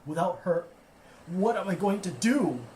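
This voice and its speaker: A man's voice: high voice, animated, unhurried, darkish tone, voice pushed up. A man playing an anguished, defiant composer and grieving father in an audio play, with an emotional, emphatic delivery. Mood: anguished grief. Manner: emotional, emphatic, swinging from mutters to cries. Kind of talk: audio play character acting. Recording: echoey, with noise behind.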